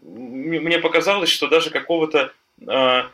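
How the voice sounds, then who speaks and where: A man chatting casually on a panel, talking in a halting way with lots of fillers and restarts. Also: a little high, sharp tone. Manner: hesitant, many fillers and restarts. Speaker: podcast guest, software developer.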